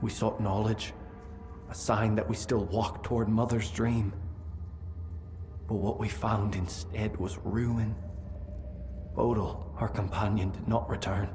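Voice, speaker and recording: Slow, grieving fantasy tribesman. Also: fairly low pitch, little pitch movement. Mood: sorrowful, humble. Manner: solemn, halting, heavy delivery. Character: humble, grieving tribesman haunted by failure. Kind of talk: dramatic fantasy video game acting. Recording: prominent music behind the voice.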